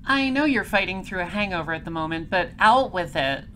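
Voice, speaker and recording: A woman playing a polite, persistent space patrol detective in an audio drama, questioning in a formal, measured way. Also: on the low side, expressive, bright, forceful tone, slight background noise. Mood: composed, businesslike. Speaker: lead detective and narrator.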